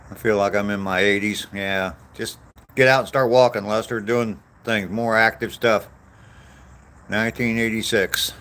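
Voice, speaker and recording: Man, 60s, very low voice, casual rambling, self-deprecating, vlog walk chat. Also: fairly even intonation, moderate pace, fairly bright tone. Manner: meandering, self-deprecating, answers viewers aloud. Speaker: vlogger reading live chat on a walk. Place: outdoors on a walk.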